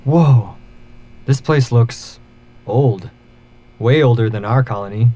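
A man's voice: medium pitch, slow, mellow. An actor playing a glib, bantering space crewman in an audio drama. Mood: curious. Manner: glib, bantering, mock-formal. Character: wisecracking, laid-back male space crewman, defiantly jokey.

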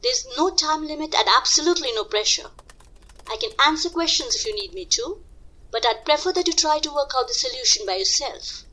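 A woman's voice: high-pitched, lively intonation, brisk, bright, forceful tone. A woman playing a composed test moderator in an audio drama, giving instructions in a professional, reassuring manner. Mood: calm, instructive.

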